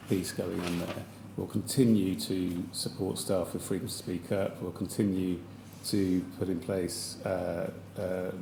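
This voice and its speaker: A man with a slightly croaky voice, giving hesitant formal testimony as a chief executive at a public hearing, with many fillers and restarts. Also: deep voice, mellow, a little hiss or hum. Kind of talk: formal testimony under questioning. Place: large public hearing hall. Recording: echoey hall with faint ambience.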